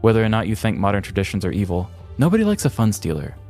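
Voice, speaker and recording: A man's voice: fairly low pitch, wildly swooping pitch, fast, darkish tone, soft background music. A man co-hosting a podcast, speaking in a storytelling and conversational style. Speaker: podcast co-host. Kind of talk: scripted story narration and casual banter.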